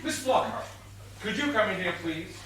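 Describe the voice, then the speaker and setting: A man acting a pompous, bumbling police inspector, heard across a very echoey stage. The voice is high and swoops theatrically, blustering through a comic murder mystery. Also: lively intonation, normal speed. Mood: officious. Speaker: stage actor. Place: theatre stage, recorded from a distance. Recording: roomy distant stage recording, noisy.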